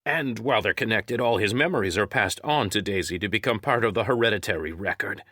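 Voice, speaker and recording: A man's voice: on the low side, quick pace, sharp tone, no echo, studio quality. A man voicing a wry, knowledgeable crewmate who explains things in an audiobook story. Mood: explaining.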